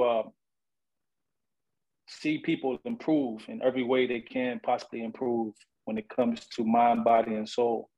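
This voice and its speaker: Flat-toned earnest barber and life coach. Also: male voice, mid-range voice, rough recording. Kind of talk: interview answers.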